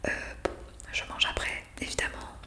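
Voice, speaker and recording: A woman doing an ASMR roleplay in a breathy whisper, explaining things politely and hesitantly, close to the microphone. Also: moderate pace, bright, forceful tone. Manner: hesitant, improvised explaining with polite formal address. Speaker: ASMR roleplayer playing a zombie intake worker. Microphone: close USB condenser microphone.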